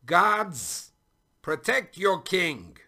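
Storybook tyrant king, played by a male narrator: angry, imperious, loud and pitched up. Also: sing-song, drawn-out words, heavily acted. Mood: alarmed, commanding. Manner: shouting, imperious.

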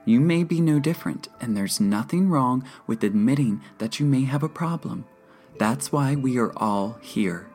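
An audiobook counselor voiced by a woman narrator, a patient and measured woman. She is calm yet firm and placating, lifted in pitch from the narration, over soft music.

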